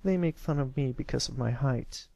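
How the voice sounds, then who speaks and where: A man's voice: medium pitch, normal speed, creaky, warm tone, dry recording, lightly acted. A man voicing a timid, glum, undersized boy pony with a hesitant manner, in a story reading.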